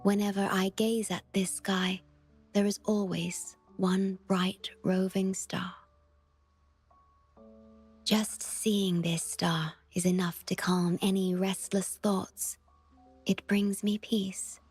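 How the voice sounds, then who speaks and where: Gentle young female game guardian: soft, solemn, unhurried, over music. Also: mid-range voice, little pitch movement, husky. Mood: tender, wistful. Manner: tender, measured, earnest. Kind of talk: video game voice acting.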